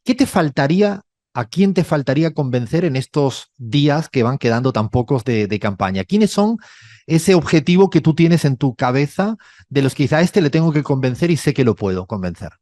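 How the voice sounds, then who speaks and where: A man's voice: a little high, sing-song, normal speed, darkish tone, no room sound. Andalusian man interviewing, dry close sound. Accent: Andalusian Spanish. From Andalusia, Spain. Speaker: interviewer, host. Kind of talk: radio interview questions.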